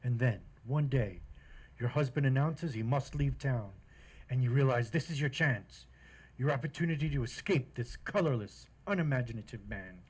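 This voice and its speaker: A man's voice: medium pitch, moderate pace, a little mellow, slightly rough, natural voice, low-quality recording. A pompous comedy judge played by a man in old-time radio style. He declaims in a mid-range male voice, with the formal delivery of someone presiding over a court. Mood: dramatic, pompous.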